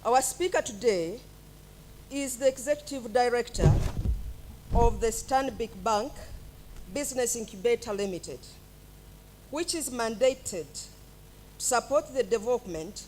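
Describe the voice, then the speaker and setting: A woman reading out a guest speaker's biography at a graduation ceremony. She speaks very slowly and formally, her voice a little high. Faint noise sits under the recording. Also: lively intonation, a little mellow, low-quality recording. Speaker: master of ceremonies. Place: ceremony hall.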